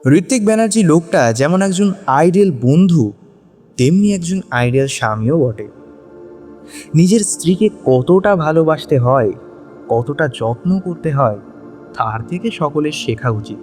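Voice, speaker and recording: A man's voice: on the high side, lively intonation, unhurried, darkish tone, faint music behind. A man narrating a scripted audio story in a dramatic storytelling style.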